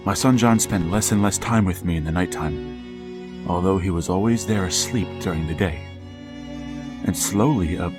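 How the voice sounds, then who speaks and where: A haunted, grieving father, voiced by an actor tells his grim tale in a lowish voice, grave and tormented. Faint violin music runs underneath this radio drama. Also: lightly acted. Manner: grave storytelling, breaking into anguish. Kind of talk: old-time radio drama narration.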